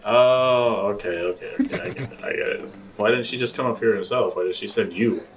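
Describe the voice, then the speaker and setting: A man's voice: lowish voice, brisk, natural voice, poor audio. A man playing a grumpy, sleepy, cheeky man in a tabletop roleplay, whiny, flustered and sarcastic. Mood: flustered, snippy.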